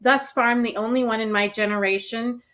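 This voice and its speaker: A middle-aged woman from North Dakota, a dietitian and author, telling her story as a guest in a composed, steady way. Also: on the high side, fairly quick. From North Dakota, USA. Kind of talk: interview answers.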